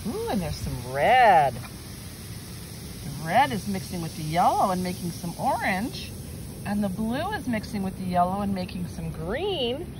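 Female early-years teacher, unhurried and very animated, exclaims and prompts children with questions; windy, noisy outdoor recording. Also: medium pitch. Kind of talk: hands-on demonstration for children and parents. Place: outdoors in wind and sun.

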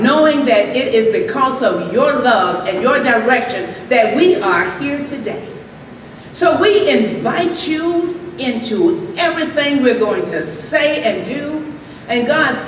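A woman reverend with a raspy voice, slowly and earnestly leading a prayer at a ceremony from a podium microphone on stage. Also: mid-range voice. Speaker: reverend giving invocation. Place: stage of a large hall at a graduation ceremony. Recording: echoey hall, distant and faintly noisy.

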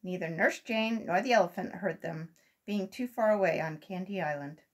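A woman's voice: lowish voice, normal speed. An older woman reading a children's story aloud expressively. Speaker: volunteer children's story reader. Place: small room at home.

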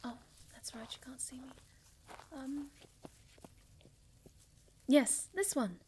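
A woman playing a sweet, timid young moth girl in an ASMR roleplay, speaking softly and breathily with hesitant stammers. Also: sits high, slow, noisy background. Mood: startled, hurting. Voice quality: soft, breathy, often near a whisper.

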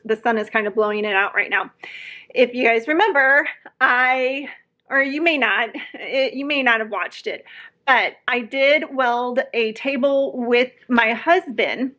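Slow chatty woman in her 40s, phone at arm's length at home, kinda high voice, rambles a lot. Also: bright, forceful tone. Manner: friendly, rambling with fillers and restarts. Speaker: home decor vlogger. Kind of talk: casual chatty vlog. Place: living room at home, indoors. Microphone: phone camera at arm's length.

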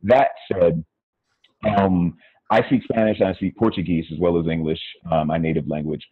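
A man's voice: deep voice, fairly even intonation, normal speed, husky, no echo. A middle-aged American man, a podcast guest, talking reflectively over an earphone mic on a video call from a small room, with many fillers and restarts. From United States. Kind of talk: casual conversation. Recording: phone-like narrow sound, dry.

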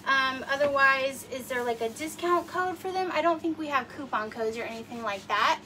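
A high-voiced woman in her forties chats her way through a livestream in her home kitchen, rambling with plenty of fillers. She sounds slightly sniffly. Her voice is a little distant from the phone, with faint room noise behind her. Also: normal speed, sharp tone. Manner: chatty, rambling, many fillers. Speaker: livestream host. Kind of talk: casual livestream demo. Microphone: phone camera across the room.

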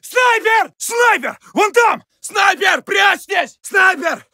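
An actor playing an alarmed Russian soldier of the First World War in a video game, shouting terse, urgent warnings to his comrades. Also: very high voice, animated, slow pace, creaky, no room sound, studio quality, heavily acted. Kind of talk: shouted battlefield alert barks.

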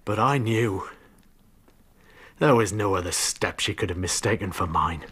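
A man's voice: fairly low pitch, unhurried, a bit bright, breathy edge. An actor in a radio drama playing a bitter, jealous, hard-drinking husband confessing to murder, brooding as he tells it. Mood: jealous anger. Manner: brooding, confessional storytelling that breaks into confrontation.

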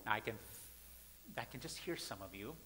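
A man in his thirties, a pastor, preaching at a pulpit in a large church sanctuary with emphatic, repeated phrases that swing between loud and soft. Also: on the low side, animated, moderate pace. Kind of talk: sermon. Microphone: pulpit mic, far camera. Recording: church hall reverb.

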